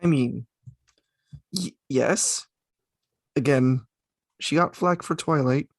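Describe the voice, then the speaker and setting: A man with a gravelly, creaky voice talks through a casual podcast chat. He stumbles and restarts, filling gaps with fillers. The sound is dry and close. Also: medium pitch, no room sound. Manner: halting, many restarts and fillers. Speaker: podcast co-host.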